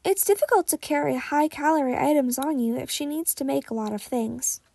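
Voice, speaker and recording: Teenage male hero student read by a woman, earnest explainer, high voice, dry fan fiction reading. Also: brisk, gravelly, a little mellow, no echo.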